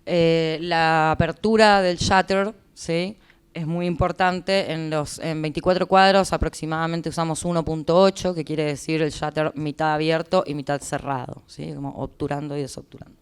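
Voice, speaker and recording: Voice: medium pitch, steady tone, moderate pace, clean tone. An interpreter with an Argentine Spanish accent, explaining to listeners in consecutive turns at a conference.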